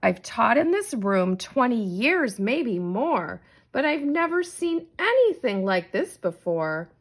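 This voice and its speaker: A stern veteran schoolmistress from a children's storybook, as a woman reader puts her on. She sounds exasperated and scolding. Her pitch is very animated and her delivery slow and emphatic. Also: full character voice.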